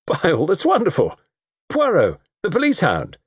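A man voicing a flippant, lovesick young society gentleman with a theatrical, bantering, mock-gloomy way of talking. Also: medium pitch, sing-song, moderate pace, no echo, studio quality, voice pushed up. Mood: jovial, flippant.

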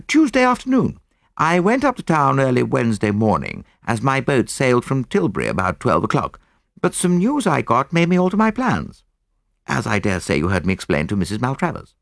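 An eager young army captain in an audiobook mystery, played by a man. His British voice sits high and leaps about in pitch. He chatters in brisk, clipped, upper-class phrases with a slightly uneasy air. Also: huge pitch swings, vocal fry, no room sound, clean studio sound. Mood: breezy, chatty.

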